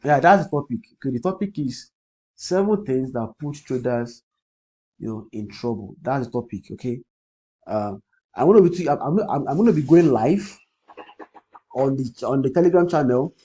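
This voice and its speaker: A man with a Nigerian accent, a native Yoruba speaker, hosting a casual crypto livestream in a husky voice, repeating phrases and often checking in with "okay". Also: medium pitch, animated, normal speed, dark tone, poor audio. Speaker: crypto trading livestream host. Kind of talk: casual livestream commentary, answering comments.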